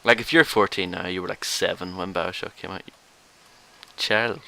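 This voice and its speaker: Northern Irish accent वाला एक युवा आदमी, podcast का co-host, सूखे और तंज़ भरे अंदाज़ में आराम से बात करता हुआ।. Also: male voice, low-pitched, fairly even intonation, normal speed, creaky, bright, forceful tone. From Northern Ireland. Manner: dry, sarcastic teasing. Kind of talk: casual chat.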